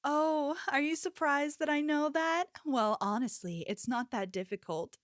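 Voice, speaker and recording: Woman acting a slow, obsessive yandere cashier girl. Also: high voice, no echo, clean studio sound. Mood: creepy, smug. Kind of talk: ASMR roleplay, scripted character acting.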